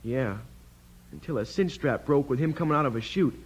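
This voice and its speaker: A man's voice: medium pitch, moderate pace, breathy edge, close to an everyday voice, poor audio. An actor playing an honest, frightened teenage ranch boy, rustic and plain-spoken, in a 1950s radio western. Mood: defiant, uneasy.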